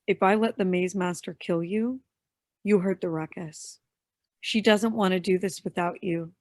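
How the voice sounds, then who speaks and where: A woman's voice: fairly low pitch, a little slow, darkish tone, no room sound, studio quality, lightly acted. A woman playing a bitter, defiant young henchwoman in a staged play reading. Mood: cold, resentful.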